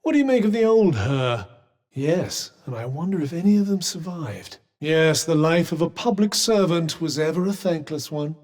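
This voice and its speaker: A man's voice: on the high side, expressive, normal speed, darkish tone. A man with a British accent voicing a haughty, erudite magical cuff in a fantasy video game, with polished diction and dry sarcasm. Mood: dry, somber. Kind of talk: scripted game companion dialogue.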